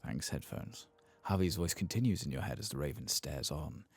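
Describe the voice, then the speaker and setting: A soft-spoken man doing ASMR commentary on a video game, sometimes dropping into a whisper, with a husky, breathy voice. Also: a bass voice, animated, moderate pace, soft background music. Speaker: game streamer narrating a playthrough.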